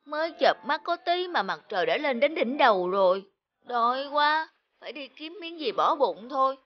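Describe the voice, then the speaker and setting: Cartoon idler: woman plays a lazy young man, high whiny voice, very animated, self-pitying, music underneath. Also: normal speed, lo-fi sound. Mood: weary, whining. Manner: plaintive, dramatic exclamations. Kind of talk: cartoon folk-tale dubbing.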